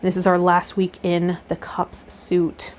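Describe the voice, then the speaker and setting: A woman's voice: lowish voice, completely flat delivery, slow. A woman hosting a tarot video, reading card meanings aloud and adding casual commentary.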